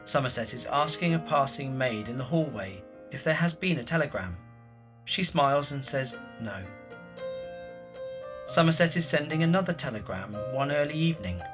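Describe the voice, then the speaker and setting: A man's voice: mid-range voice, lively intonation, normal speed, over music. A man reading scripted narration for a dramatised story.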